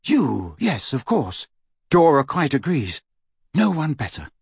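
A man's voice: a little high, very animated, slow, creaky, darkish tone, breathy edge, no room sound, studio quality, voice pushed up, exaggerated voice. A man voicing a weary middle-aged male wizard in an audiobook, with emphatic, formal declarations. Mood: happy, warm. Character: frightened then overjoyed weary middle-aged male wizard werewolf friend.

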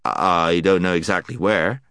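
A man's voice: on the low side, very animated, normal speed, fairly bright tone, no echo, studio quality. A man voicing a stubborn, gloomy male marsh creature in a storybook, plain-spoken and labouring for breath. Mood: stout, insistent. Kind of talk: dramatised storybook reading.

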